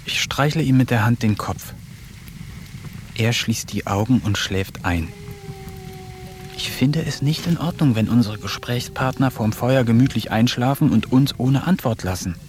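A man narrating diary entries in a radio play, calm, measured and wry, sometimes dropping to a hushed whisper. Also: mid-range voice. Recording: studio radio play with faint music.

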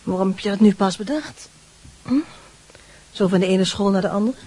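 Woman as suspicious mother, soft dark timbre, slightly rough voice, questioning tone, radio play. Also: medium pitch, moderate pace, close to an everyday voice, low-quality recording. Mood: probing, suspicious. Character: suspicious, probing mother questioning her son. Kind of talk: Dutch radio play acting.

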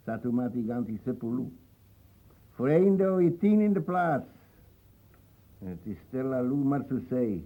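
An actor playing a gruff, suspicious old ex-soldier, muttering and defiant, in a radio play. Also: male voice, medium pitch, sing-song, measured pace, pauses often, phone-quality sound. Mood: nostalgic. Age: older.

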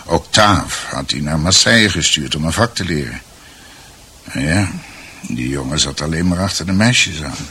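An actor playing a thoughtful middle-aged storyteller, deliberately searching for words as he recounts a family history in radio drama. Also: a bass voice, lively intonation, bright, forceful tone, slight background noise, exaggerated voice. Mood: reflective. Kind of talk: radio drama narration in conversational tone.

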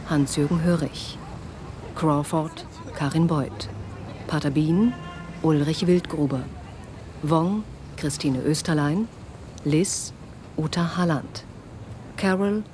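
A woman announcer reading radio drama credits in a measured, formal manner. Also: deep voice, noisy background.

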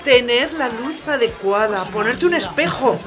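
Nosy, excitable comic gossip played by a woman, high voice, teasing and exclamatory, music behind. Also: measured pace, a crowd in the background. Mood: exasperated. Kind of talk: comic theatrical audio drama.

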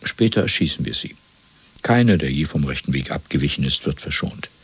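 A cold male interrogator in a radio drama, deep and very husky with a gravelly edge. He speaks deliberately and patronisingly, swinging widely from soft whispers to hard commands with fanatical certainty. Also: lively intonation, no room sound, exaggerated voice. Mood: cold domination. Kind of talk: 1970s German radio play acting.

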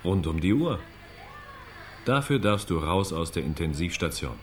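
An actor playing a calm, matter-of-fact hospital caregiver in radio drama dialogue. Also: male voice, deep voice, moderate pace. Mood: reassuring.

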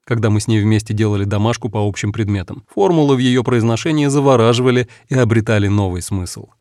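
A man narrating a first-person story in a calm, reflective, measured way. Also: on the low side, dry recording, studio quality. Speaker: audiobook narrator.

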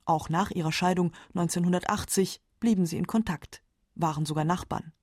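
A woman's voice: lowish voice, steady tone, fairly quick, darkish tone, no room sound, studio quality. A woman with pronunciation reading scripted narration for a literary radio documentary.

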